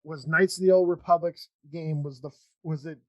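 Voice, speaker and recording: A man hosting a casual interview chat, talking in a halting, rambling way with false starts. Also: fairly high pitch, steady tone, no room sound. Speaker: podcast host.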